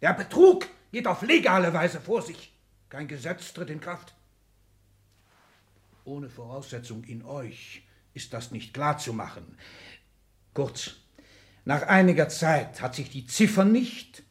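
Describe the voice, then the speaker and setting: Imperious old patriarch, high-pitched. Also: male voice, big pitch swings, a little slow, long pauses. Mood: insistent lecturing. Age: older. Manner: imperious, clipped, declamatory, with sudden outbursts. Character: ailing, domineering old industrialist patriarch lecturing his children. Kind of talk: 1960s German radio play acting.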